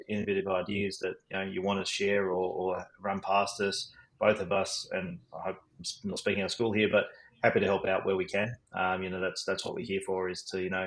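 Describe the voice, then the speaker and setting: Deep, fast man hosting. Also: low-quality recording. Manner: relaxed, chatty, says mate, many fillers. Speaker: podcast co-host. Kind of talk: casual podcast chat.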